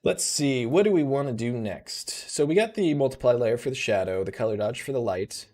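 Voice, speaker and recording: A man in his thirties hosting a live software tutorial, thinking aloud in a relaxed way, his voice a little rough. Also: medium pitch, fairly quick. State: slightly rough voice. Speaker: host.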